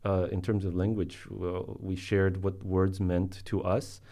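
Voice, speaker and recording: A man's voice: sits low, level tone, unhurried, gravelly, mellow. A man from Colombia, a native Spanish speaker, talking casually as a podcast guest and searching for his words. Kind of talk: casual podcast interview talk.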